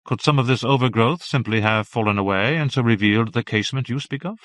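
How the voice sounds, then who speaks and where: A man voicing a prim, practical middle-aged aunt who speaks in a brisk, matter-of-fact way. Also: medium pitch, a bit bright, no echo, lightly acted.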